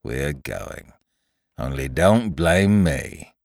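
A man's voice: extremely low pitch, animated, very slow, vocal fry, no room sound, clean studio sound, pitched below the performer's own voice, full character voice. A man voicing a gloomy, put-upon donkey in a children's story, grumbling ponderously and stumbling over long words. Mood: gloomy, resigned.

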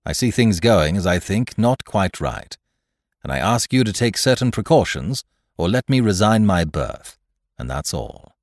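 A man's voice: low-pitched, very animated, unhurried, dry recording, clean studio sound, pitched above the performer's own voice, exaggerated voice. A man voicing a stern, blunt, dutiful sea captain with a formal, firm way of talking, in a storybook reading. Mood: firm, resolute. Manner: formal, firm, plain-spoken.

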